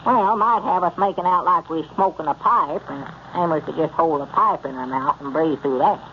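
A man playing a slow, folksy rural storekeeper with a drawling country way of talking, in a 1930s radio comedy. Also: a little high, slight background noise, close to an everyday voice. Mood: puzzled. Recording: muffled old radio transcription.

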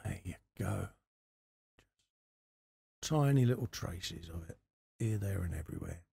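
A man's voice: low voice, animated, measured pace, vocal fry, warm tone, husky. A middle-aged man, chatting informally and unhurriedly through a hands-on hobby tutorial. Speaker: host of a scale model workshop channel. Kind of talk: casual hobby tutorial commentary while working. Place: indoor hobby workbench.

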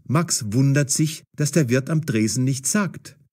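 A man narrating a scripted story for language learners, articulating clearly and expressively. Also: mid-range voice, measured pace, mellow.

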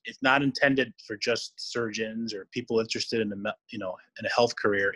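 A man's voice: medium pitch, a bit bright, rough recording. A middle-aged man, an interview guest and surgeon, explaining things conversationally and earnestly on a video call. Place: indoor room, on a video call.

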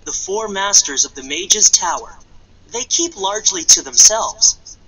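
An actor playing a wry young novice mage making dry remarks in a point-and-click game. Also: male voice, sits high, lively intonation, unhurried, pushed and bright, heavily acted, poor audio.

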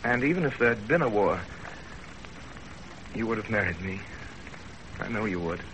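An actor playing an earnest young husband in a 1930s radio drama, speaking gravely and deliberately. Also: male voice, on the low side, lively intonation, natural voice. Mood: tender. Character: earnest young husband narrating his love story. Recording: noisy 1930s radio broadcast.

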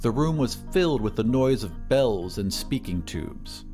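A man narrating a scripted audio drama as a storyteller, in measured, literary phrasing. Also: medium pitch, expressive, over music.

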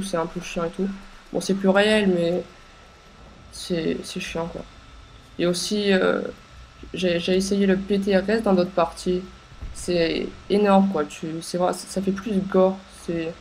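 A young woman with a stuffy, congested voice from a cold, talking over her game in a halting way with many pauses and fillers. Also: medium pitch, a little mellow, a little hiss or hum, poor audio. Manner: hesitant, many filler sounds and restarts. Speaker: gameplay commentator. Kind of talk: casual gameplay commentary.

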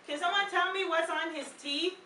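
High, bright woman presenting to kids. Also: moderate pace. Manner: animated, asks questions and prompts repetition. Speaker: children's book author and presenter. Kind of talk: interactive read-aloud talk to young children. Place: children's room in a public library. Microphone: far camera. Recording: distant, echoey room with background noise. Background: room ambience, children.

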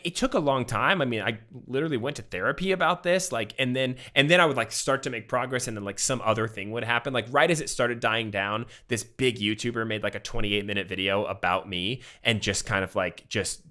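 Animated man telling a story on a podcast. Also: medium pitch. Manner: animated, many "like" fillers, acts out quotes. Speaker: podcast guest. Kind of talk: casual interview storytelling. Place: home room with bookshelves. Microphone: studio mic with pop filter, headphones.

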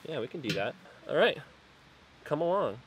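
A man's voice: on the high side, normal speed. Easygoing man vlogging outdoors. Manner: relaxed, chatty, talking to his kids. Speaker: family vlogger. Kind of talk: casual vlog narration. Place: outdoors by a cabin and river. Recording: outdoor camera audio with clear background noise.

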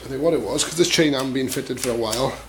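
A man's voice: mid-range voice, fast talker. A man giving a casual hands-on demonstration in a workshop, speaking informally in short asides as he works. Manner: informal, clipped asides while working. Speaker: tutorial presenter. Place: workshop, at a bench vice. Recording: clear background noise, filing and clicking. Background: metal filing rasp and clicks.

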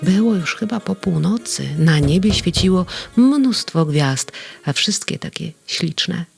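A narrator reading a children's audio story aloud in a tender, gently expressive way. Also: medium pitch, unhurried, gravelly, breathy edge, faint music behind.

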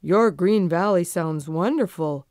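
Woman as a kind, measured old grandfather goat. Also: on the low side, a little mellow, dry recording, clean studio sound, close to an everyday voice. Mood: kindly firm.

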